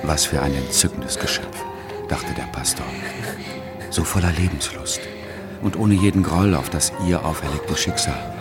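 A man narrating a German radio play as a solemn, measured literary storyteller, quietly enchanted by what he observes. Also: a bass voice, loud music behind the voice. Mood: admiring.

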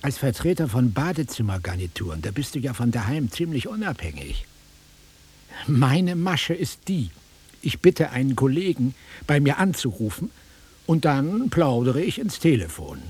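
An actor playing a bored, blunt middle-aged travelling salesman with a raspy voice in a naturalistic radio drama. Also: mid-range voice, big pitch swings, moderate pace, darkish tone. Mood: offhand. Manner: offhand, dismissive, grumbling.

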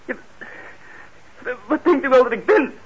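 A man's voice: extremely high pitch, lively intonation, a little slow, breathy edge, full character voice. An actor playing a troubled young man on parole in a radio drama, hesitant and defensive, stammering when he is upset. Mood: indignant, agitated. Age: young adult. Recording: dull, noisy old radio recording.

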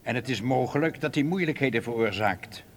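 An actor playing a cautious, sceptical ringleader in a radio drama, questioning and authoritative. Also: male voice, medium pitch, animated, fairly quick, natural voice.

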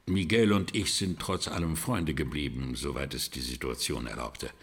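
An actor playing an older, proud and bitter fallen government minister in a radio drama, gravelly and theatrically self-satisfied. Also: male voice, very deep voice, normal speed, a bit bright, exaggerated voice. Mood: bitter pride.

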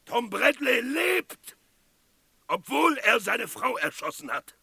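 Torn Western sheriff, high-pitched, gravelly, declamatory, radio drama. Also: male voice, no room sound, full character voice. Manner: declamatory, drawn-out, swinging from shouts to low firmness. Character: impassioned, torn Western sheriff addressing his townsfolk. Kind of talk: theatrical radio drama acting.

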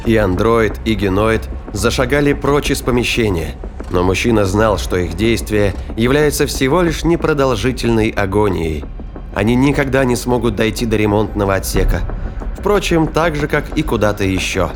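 A man's voice: fairly low pitch, lively intonation, moderate pace, slight background noise. A man giving scripted, dramatic narration for a science fiction audio drama.

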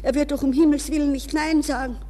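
A woman's voice: high voice, fairly even intonation, fast, dark tone, close to an everyday voice. An actress playing an anxious, proud young woman in a nervous, pleading manner, in the style of 1960s radio drama. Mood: inner anguish. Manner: breathless, nervous, shifting between polite speech and inner thoughts. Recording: reverberant, hissy 1960s radio recording.

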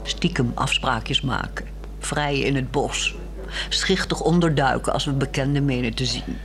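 Weary, resolute wife, measured and wry. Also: female voice, extremely low pitch, a little hiss or hum. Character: weary, resolute married woman in a secret affair. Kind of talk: 1960s radio drama acting.

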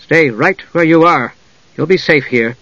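A male narrator voicing a flustered young male mage who speaks in hurried, cross bursts. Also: on the high side, no room sound, muffled recording. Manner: hurried, cross, then soft.

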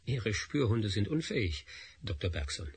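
A man's voice: lowish voice, sing-song, moderate pace, husky, exaggerated voice. An actor playing a driven male scientist on the run, speaking urgently in a radio drama. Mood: defiant. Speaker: Doctor Spassky.

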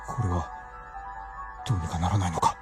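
An actor playing an anguished, devoted scientist husband in a radio drama, pleading in formal old-fashioned speech. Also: male voice, deep voice, steady tone, a little fast, loud music behind the voice, natural voice. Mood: anguished, tender.

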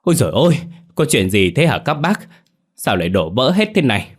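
A man's voice: mid-range voice, sing-song, fairly quick, slightly rough, voice pushed up. A man voicing a shocked village neighbour, exclaiming in alarm.

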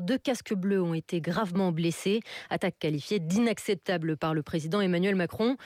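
A woman's voice: mid-range voice, a little mellow, dry recording. Female news presenter, scripted bulletin delivery, close dry sound.

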